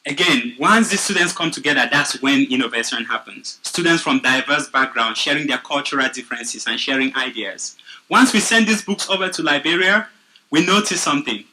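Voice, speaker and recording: A young man from Cameroon with a Cameroonian accent, earnestly pitching his nonprofit in a prepared speech. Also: a little fast, a little hiss or hum, low-quality recording. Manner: earnest, steady storytelling.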